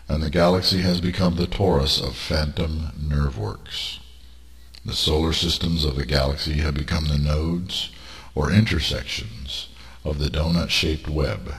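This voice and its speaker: Very deep-voiced man narrating guided meditation. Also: a bit bright. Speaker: narrator of a spiritual training monologue.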